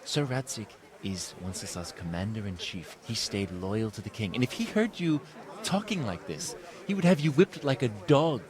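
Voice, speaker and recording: A man's voice: lowish voice, big pitch swings, moderate pace, a crowd in the background. A man playing a hot-headed, scornful loyalist townsman who declaims emphatically in a video game cutscene.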